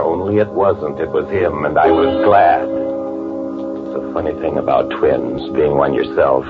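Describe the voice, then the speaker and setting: An actor playing a cold, menacing twin who narrates in a deadpan, sardonic old-time radio noir style. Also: male voice, rumbling low, phone-quality sound. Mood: coldly satisfied. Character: cold, menacing twin brother, a man narrating his murder. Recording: band-limited old radio drama recording.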